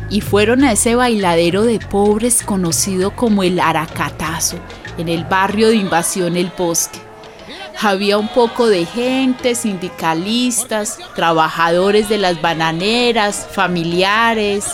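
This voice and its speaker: An actress playing a sorrowful witness, narrating a radio drama in a grave, testimonial way. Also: female voice, mid-range voice, a little slow, music in the background, natural voice. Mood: reminiscing. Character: sorrowful female witness recounting a massacre.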